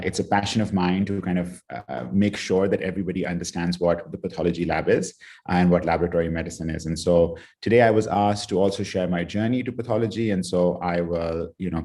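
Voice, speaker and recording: A man from Pakistan, a pathologist, giving a relaxed online talk over a video call, with many "you know" and "kind of" fillers. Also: deep voice. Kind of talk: online lecture with slides.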